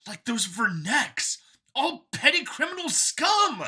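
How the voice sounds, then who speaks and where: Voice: high voice, sing-song, takes it slowly, pushed and bright, breathy edge, pitched above the performer's own voice, heavily acted. A narrator voicing a smug, bigoted android copy of a man in an audiobook, with an eager, boastful, emphatic and sneering delivery. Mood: sneering, fervent.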